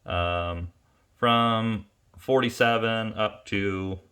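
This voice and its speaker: A man with a low-pitched voice explains technical test results in an informal, off-the-cuff way. His delivery is halting, often pausing partway through a phrase as he works out what to say next. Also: bright, forceful tone. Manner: halting, pauses mid-phrase while thinking. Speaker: ATV engine tuner and presenter. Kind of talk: informal technical product review, unscripted explanation.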